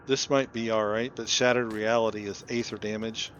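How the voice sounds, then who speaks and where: A man's voice: fairly low pitch, steady tone, moderate pace, dull audio, a little hiss or hum. A man giving casual gameplay commentary as host of a playthrough, talking informally and thinking aloud. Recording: loud music behind the voice. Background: game music.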